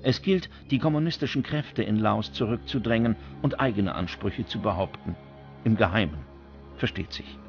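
A man's voice: fairly low pitch, normal speed, creaky. A man reading scripted documentary narration as the narrator. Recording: dull recording with music bed. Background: background music.

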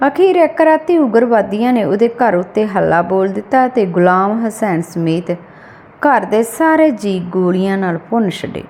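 A woman's voice: medium pitch, expressive, moderate pace, darkish tone. Woman narrating a short story. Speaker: audiobook narrator.

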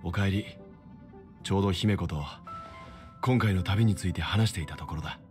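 A man playing a thoughtful, middle-aged mentor in an anime-style game, gentle, measured and reflective. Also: sits low, close to an everyday voice. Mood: calm, reflective. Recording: music under the voice.

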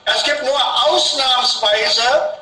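Non-native professor, high bright voice, halting with restarts, heard from afar in an echoey room. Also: male voice, distant mic. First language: an Indian language. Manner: hesitant, repeats and restarts words. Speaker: guest professor. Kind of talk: online discussion group conversation. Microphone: video call.

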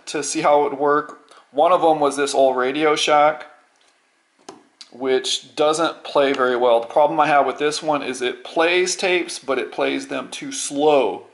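Gravelly middle-aged man, halting and casual. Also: fairly high pitch. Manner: halting, rambling, repeats words. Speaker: vlogger. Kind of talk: casual unscripted show-and-tell.

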